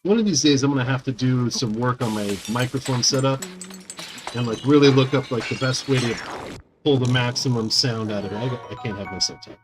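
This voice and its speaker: A man's voice: mid-range voice, brisk, over music. A man in his thirties chatting with viewers while streaming a game, rambling with lots of fillers into a small condenser mic at home. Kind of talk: casual live-stream chat with viewers.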